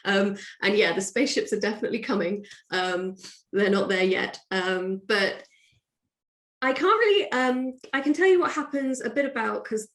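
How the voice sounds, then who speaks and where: A woman's voice: medium pitch, moderate pace, a bit bright. A woman author being interviewed, chatting casually and thinking aloud in a discursive way. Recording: roomy, echoey sound.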